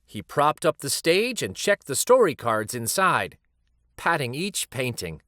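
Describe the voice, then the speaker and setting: A man reading a children's picture book aloud as a storyteller, with an unhurried, expressive delivery. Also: medium pitch, no echo.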